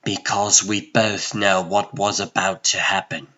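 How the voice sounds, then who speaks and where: A man voicing a weary, resolute sorcerer in a comic dub, speaking with husky, restrained firmness. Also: low voice, flat delivery, sharp tone, dull audio. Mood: quietly resolute. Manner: restrained, measured, firm. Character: weary, resolute sorcerer standing up to an old friend. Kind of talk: dramatic comic-dub acting.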